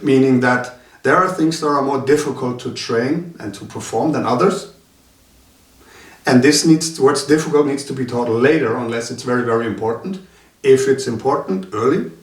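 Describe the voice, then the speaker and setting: Male instructor, hesitant interview guest, roomy far-off sound. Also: medium pitch. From Denmark. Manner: halting, many filler sounds. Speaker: martial arts instructor. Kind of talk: casual interview talk. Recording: roomy sound from a distant mic.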